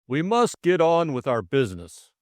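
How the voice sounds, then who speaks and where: A man voicing a stern Russian who chairs a secret criminal society, speaking in a commanding, deliberate way for an audiobook. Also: on the high side, sing-song, darkish tone, dry recording, studio quality, voice pushed up. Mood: commanding, ominous.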